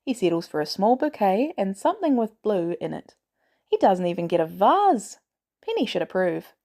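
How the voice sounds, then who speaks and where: A woman's voice: medium pitch, big pitch swings, normal speed, dark tone. A woman reading a scripted story aloud as a narrator. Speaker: podfic reader. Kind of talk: scripted narration of fan fiction.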